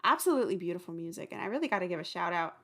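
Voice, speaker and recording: A woman's voice: fairly low pitch, lively intonation, very fast, a bit bright. A woman who hosts and creates an audio drama podcast, chatting casually and gratefully from a home recording. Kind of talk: casual outro chat.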